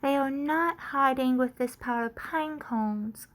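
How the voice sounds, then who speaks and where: Woman as a high-pitched, excitable little puppet sheep. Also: unhurried. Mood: searching, worried. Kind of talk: children's puppet show acting.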